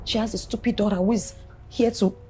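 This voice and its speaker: A woman's voice: medium pitch, steady tone, brisk, warm tone, soft background music, lightly acted. An actress with a Nigerian accent playing a haughty, scheming, hot-tempered young heiress in a film drama, emphatic and repeating her phrases. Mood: theatrically distressed. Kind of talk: melodramatic film acting.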